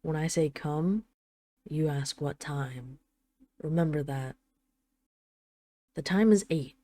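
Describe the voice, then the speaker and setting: A woman's voice: deep voice, takes it slowly, mellow, no echo. A woman playing a possessive dragon queen in an intimate roleplay audio drama, teasing, condescending and commanding. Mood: stern, possessive.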